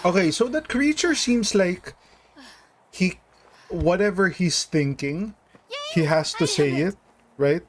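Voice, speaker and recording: A man's voice: sits high, animated, takes it slowly, pauses often. A man giving casual reaction commentary as host, rambling and thinking aloud, often checking with a tag question.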